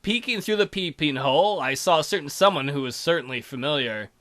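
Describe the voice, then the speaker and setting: Animated, high-voiced man doing a let's play. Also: fairly quick. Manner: playful, jokey. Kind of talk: visual novel read-aloud with joking commentary.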